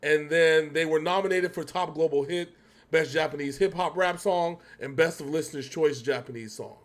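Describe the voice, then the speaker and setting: A man's voice: a little high, normal speed. An American man hosting a reaction show in casual, slangy, rambling chat.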